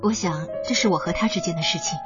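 A woman plays an assertive ex-wife in a radio play, composed yet pointed. Her voice sits a little low, over clear background music in a slightly dull recording. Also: fast, lightly acted. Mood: pointed, probing. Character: assertive ex-wife returned from abroad, pointedly solicitous. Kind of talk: radio drama acting.

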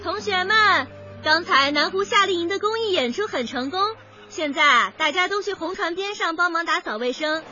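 An actress in a radio drama playing a brisk, cheerful schoolteacher, projecting instructions to her pupils. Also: extremely high pitch, lively intonation, muffled recording, faint music behind, exaggerated voice. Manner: bright, projected, instructing a group.

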